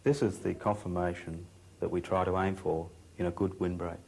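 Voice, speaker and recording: A man's voice: sits low, flat delivery, moderate pace, vocal fry, darkish tone, slightly rough. A man presenting an instructional demonstration, explaining things in a plain-spoken way. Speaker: expert presenter.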